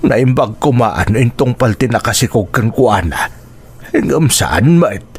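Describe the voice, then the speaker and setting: A man acts out an older village father in a radio drama, stern and weighed down by care. He speaks at a middling pitch with an Ilocano Filipino accent. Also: expressive, unhurried, a little mellow, husky. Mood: stern, troubled.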